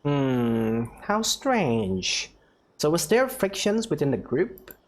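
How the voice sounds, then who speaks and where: A man's voice: a little high, sing-song, slow. A man giving casual commentary as host of a game playthrough. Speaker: gaming video host.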